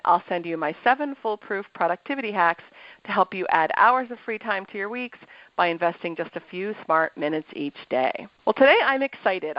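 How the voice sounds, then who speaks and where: An upbeat woman hosts a podcast and delivers her lines smoothly and in a polished way. Her voice sits at a middle pitch. The sound is dry and close, a little dull. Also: no echo. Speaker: podcast co-host and ADHD coach. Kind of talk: scripted show intro then interview.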